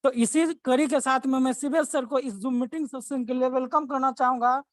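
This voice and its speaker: A man's voice: very high voice, fairly even intonation, normal speed, dry recording, clean studio sound. A man hosting an online meeting, giving an earnest, ceremonious welcome before introducing the main speaker. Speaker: meeting host. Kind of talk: formal welcome speech. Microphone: video call.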